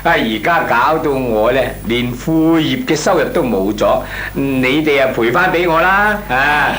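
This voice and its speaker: A man's voice: mid-range voice, lively intonation, unhurried, fairly bright tone, slight background noise. A man playing a hapless, aggrieved worker in an old Hong Kong Cantonese radio comedy, whining and grumbling. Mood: rueful. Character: hapless, aggrieved working man, complaining to neighbours.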